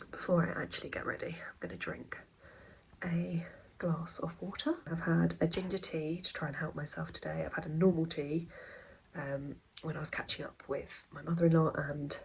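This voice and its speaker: A young woman with a blocked nose, chatting casually and rambling in a soft voice that often drops near a whisper. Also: low voice, creaky, slightly rough, low-quality recording. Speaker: vlogger.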